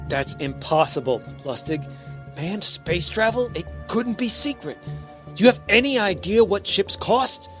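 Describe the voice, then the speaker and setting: A man's voice: sits high, lively intonation, unhurried, pushed and bright, soft background music, heavily acted. A man playing a stern, skeptical, logic-minded spaceship captain in a radio drama. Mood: tense, commanding.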